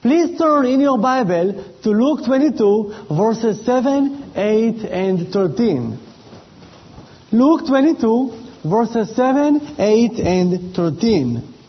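Slow-speaking Israeli man, high voice. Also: expressive. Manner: slow, deliberate, with pauses and fillers. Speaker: missionary. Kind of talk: church presentation. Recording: dull lo-fi sound.

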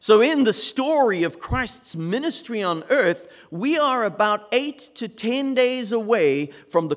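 A man from South Africa with a South African accent, preaching a sermon as pastor in measured, emphatic phrases with dramatic pauses. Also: high-pitched. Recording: long reverb of a large hall.

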